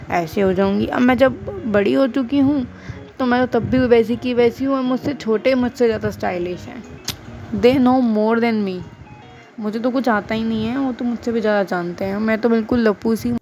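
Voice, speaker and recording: A woman's voice: a little high, creaky, mellow. A woman in her twenties chatting casually to her viewers in a self-deprecating way. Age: 20s. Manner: chatty, mixing English phrases, self-deprecating. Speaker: vlogger and school teacher. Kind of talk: casual Hinglish chat to camera.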